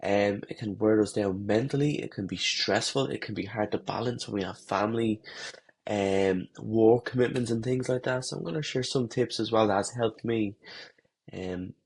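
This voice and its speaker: A man with a head cold, congested and slightly husky, giving runners a relaxed, rambling talk-to-camera lesson with fillers and restarts. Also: fairly low pitch, normal speed. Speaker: running coach.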